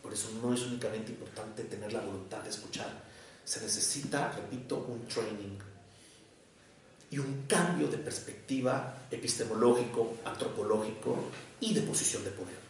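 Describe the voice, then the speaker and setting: A psychoanalyst from Mexico with a Mexico City accent, giving an academic lecture in a measured, deliberate way with short pauses between phrases. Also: male voice, on the low side. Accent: Mexican Spanish, Mexico City. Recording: echoey room, voice picked up from far off.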